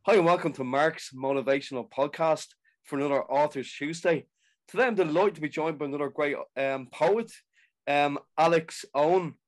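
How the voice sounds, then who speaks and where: A man's voice: a little high, normal speed. A man hosting a podcast, introducing his guest with enthusiastic, repeated praise and frequent "um"s. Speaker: podcast host. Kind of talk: podcast intro and interview chat.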